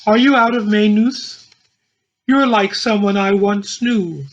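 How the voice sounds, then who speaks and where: A smirking young woman played by a man teases in a literary play. The voice is high, husky and unhurried. The recording is dull and lo-fi. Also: steady tone, slight background noise. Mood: teasing. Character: teasing, smirking young woman asking for a song.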